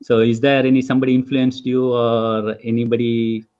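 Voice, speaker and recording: A man's voice: mid-range voice, monotone, moderate pace, dark tone, highs cut off. A man hosting an interview over a video call, asking questions hesitantly with many fillers. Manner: hesitant, many fillers, frequent listener backchannels. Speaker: host. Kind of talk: interview host.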